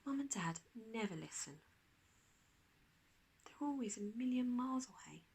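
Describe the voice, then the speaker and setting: A woman narrating a children's storybook like a teacher reading aloud, expressive, with dramatic pauses. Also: a little high, gravelly, breathy edge.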